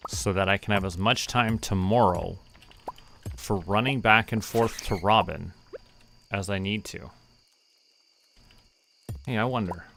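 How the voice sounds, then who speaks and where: A young man streaming a farming game, relaxed, muttering to himself and counting aloud. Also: lowish voice, measured pace, vocal fry, pauses often, a little hiss or hum. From Canada. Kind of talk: relaxed gameplay commentary.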